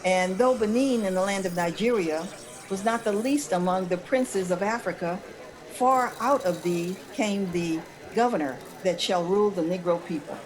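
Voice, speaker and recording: A woman's voice: medium pitch, normal speed, crowd noise, poor audio. A woman speaking as a panelist in a casual discussion, sometimes reading aloud. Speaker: panelist on a holiday discussion show.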